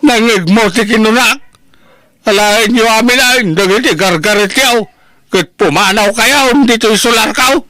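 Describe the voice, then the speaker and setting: A man playing an excitable, indignant older uncle in a family radio drama, exclaiming and scolding. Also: sits high, moderate pace, no room sound. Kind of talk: Ilocano radio drama acting.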